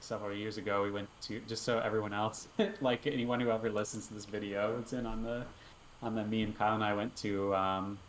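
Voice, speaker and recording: A man with a fairly low voice co-hosts a casual podcast chat, rambling happily through his stories. His mic picks up an echoey room with a faint hiss underneath. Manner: rambling, filler-heavy, upbeat. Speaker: podcast co-host. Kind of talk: casual chat over a call.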